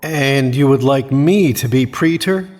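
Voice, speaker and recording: A man's voice: mid-range voice, measured pace, darkish tone, no room sound, lightly acted. An actor playing an earnest, guarded dead man in Hell, in audio drama dialogue and first-person narration. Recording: clear background noise.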